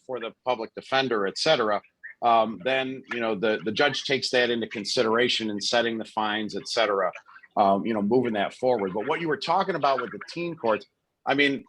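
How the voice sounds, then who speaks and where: A man, a lawyer running for office, answering forum questions over a video call in a relaxed way with many you-knows. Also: mid-range voice, no echo, poor audio. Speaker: lawyer, candidate.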